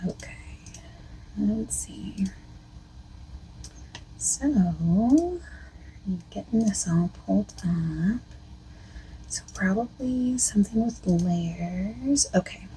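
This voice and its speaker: Softspoken woman for ASMR consultant roleplay, slow and mellow, hesitant delivery, faint hiss behind. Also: lively intonation, rough recording. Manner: soft-spoken, drifting between whisper and low voice; hesitant, filler-heavy phrasing. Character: female costume consultant asking the listener questions.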